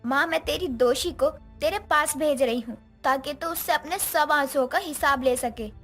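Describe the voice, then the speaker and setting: A woman's voice: sits high, normal speed, fairly bright tone, faint music behind. A woman playing a young stepdaughter in a moral story, with a theatrical, emotive delivery. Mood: bitter, scheming. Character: young stepdaughter, vengeful schemer who later repents. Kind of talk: dramatized Hindi moral story acting.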